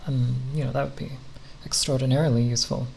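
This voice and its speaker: A speaker giving an unscripted ASMR ramble in a halting, nerdy, hesitant manner with long pauses. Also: mid-range voice, flat delivery, vocal fry, darkish tone, poor audio. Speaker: ASMR content creator. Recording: faint background noise.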